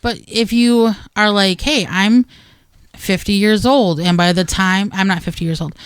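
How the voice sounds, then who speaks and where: A woman's voice: medium pitch, fairly even intonation, a little slow, smooth, no room sound. A woman co-hosting a gardening radio show, chatting casually with playful asides. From Wisconsin.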